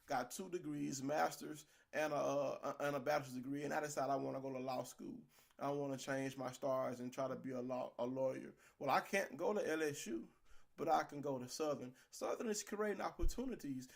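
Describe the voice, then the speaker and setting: Scratchy-voiced man in animated chat. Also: medium pitch, vocal fry. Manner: animated, opinionated, with frequent fillers. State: recovering voice, a little scratchy. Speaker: podcast co-host. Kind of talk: casual sports and college chat.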